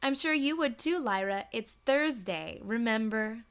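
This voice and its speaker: Woman acting teasing mare, audio story, higher-pitched, sly, playful, knowing. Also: lively intonation, measured pace, clear, resonant tone. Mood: mild weariness. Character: teasing, sly, cheerful female pony. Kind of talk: dramatised story reading.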